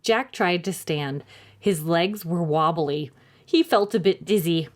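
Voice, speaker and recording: A woman narrating a children's story in a dramatic, clearly phrased, expressive reading style. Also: fairly low pitch, slow.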